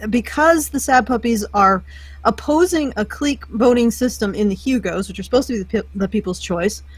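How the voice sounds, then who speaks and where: A woman's voice: fairly high pitch, a little fast, husky, no echo, low-quality recording. A woman hosting a casual podcast chat, talking hesitantly with many fillers and restarts.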